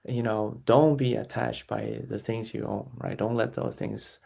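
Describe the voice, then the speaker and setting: A man's voice: fairly low pitch, steady tone, measured pace, vocal fry, a little mellow, husky. A man speaking as an interview guest, giving earnest, reflective answers, repeating key phrases and often restarting his sentences.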